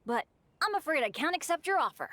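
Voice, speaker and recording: A woman's voice: high-pitched, big pitch swings, quick pace, a bit bright, dry recording. A woman voicing an upbeat, kindhearted teenage boy adventurer in a video game, earnest and friendly. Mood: bashful, warm.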